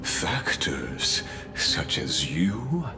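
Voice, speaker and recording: An actor voicing a coldly polite, scheming villain in a video game, speaking in a pompous, formal and mocking way. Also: male voice, rumbling low, sing-song, drawn-out words, full character voice. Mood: mock regret, menacing. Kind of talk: dramatic video game voice acting. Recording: music behind the voice. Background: music.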